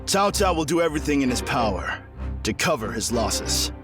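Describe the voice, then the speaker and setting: A man's voice: medium pitch, sing-song, moderate pace, over music, natural voice. A man playing a determined warrior bent on vengeance in a video game cutscene. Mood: resolute.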